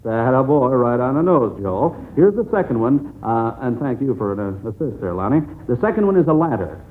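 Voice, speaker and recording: A man's voice: mid-range voice, big pitch swings, fairly quick, darkish tone. An American man hosting a 1940s radio quiz in a folksy, encouraging manner. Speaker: quizmaster of a children's quiz show. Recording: muffled old narrow-band radio recording.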